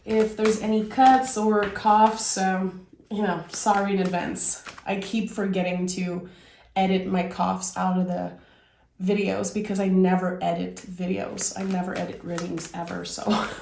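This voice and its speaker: A woman from Québec giving a casual tarot reading, her voice raspy as she gets over a cold, talking in a reflective, meandering way. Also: mid-range voice, flat delivery, measured pace, darkish tone, echoey room, a little hiss or hum. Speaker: tarot reader.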